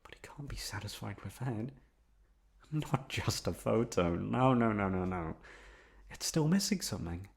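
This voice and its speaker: Man acting a low-voiced obsessive lovesick young man. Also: animated, gravelly. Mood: hushed, yearning. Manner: soft-spoken coaxing, drawn-out words, emphatic repetition. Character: obsessive lovesick young man confessing to his best friend. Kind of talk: intimate ASMR roleplay storytelling.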